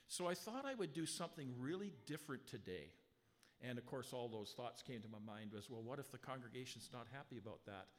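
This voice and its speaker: A man with a strong voice leads a sermon in a church sanctuary. He talks to the congregation with rhetorical questions and reads scripture slowly and with weight. The sound carries a long hall reverb, and his pitch sits at a middle level. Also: lively intonation. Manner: conversational preaching with rhetorical questions; slow, emphatic scripture reading. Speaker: pastor. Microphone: handheld or stand mic, sound adjusted live.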